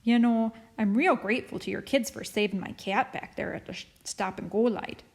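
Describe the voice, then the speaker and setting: A woman's voice: medium pitch, normal speed, gravelly. A woman voicing an eccentric, kindly older neighbor lady in an audiobook, with talkative, colloquial, folksy phrasing. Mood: grateful. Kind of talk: audiobook character voice.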